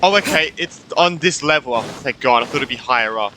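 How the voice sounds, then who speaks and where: A man's voice: medium pitch, expressive, a little fast, bright, forceful tone, a little hiss or hum. A man giving casual let's-play commentary, thinking aloud, repeating himself and reading game dialogue out. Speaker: gaming streamer.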